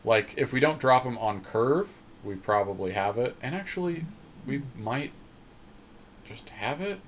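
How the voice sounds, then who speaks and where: A man's voice: mid-range voice, lively intonation, a little slow, slight background noise. A man co-hosting a gaming podcast, casually thinking aloud in a tentative way and often posing questions.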